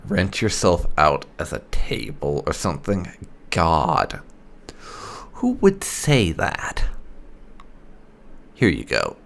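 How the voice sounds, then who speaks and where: A man's voice: deep voice, wildly swooping pitch, slow pace, vocal fry, long pauses. A man roleplaying through a video game, mixing sardonic in-character lines with casual commentary. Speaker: gaming video creator playing a roleplay character.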